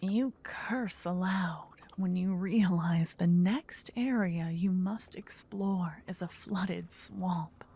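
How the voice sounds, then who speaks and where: A woman softly narrating a scripted fantasy ASMR story, breathy and often close to a whisper on a binaural mic. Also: lowish voice, slow, hardly any pauses. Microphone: close binaural microphone.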